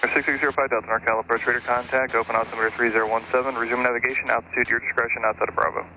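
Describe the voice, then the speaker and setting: Muffled radio, male approach controller, extremely rapid procedural patter, forceful tone. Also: mid-range voice, flat delivery. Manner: brisk procedural delivery. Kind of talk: air traffic control advisories. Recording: dull aviation radio with faint noise.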